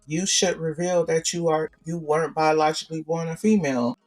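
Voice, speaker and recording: A woman with a naturally deep voice talks through a casual podcast chat as co-host, repeating herself to drive a point home. She sits a little far from the mic, and soft music plays underneath. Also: steady tone, a little fast.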